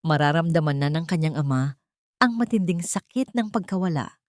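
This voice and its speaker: Low-voiced Filipino woman narrating a story. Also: expressive, moderate pace, darkish tone, no echo, clean studio sound. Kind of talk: dramatic scripted story narration.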